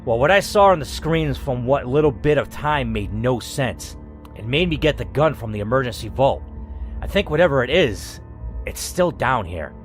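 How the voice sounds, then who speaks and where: A man reading aloud as a bitter, reclusive male IT worker in a story game, in a resentful, low-key way. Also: very animated, moderate pace, soft background music, close to an everyday voice.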